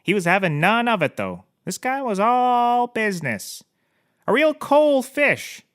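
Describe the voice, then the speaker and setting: A man's voice: high-pitched, very animated, unhurried, a bit bright, smooth, exaggerated voice. An actor playing a shameless, boozy private investigator in a scripted comedy, rambling and oblivious as he gives courtroom testimony. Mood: offhand. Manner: rambling, casually confessing, oblivious.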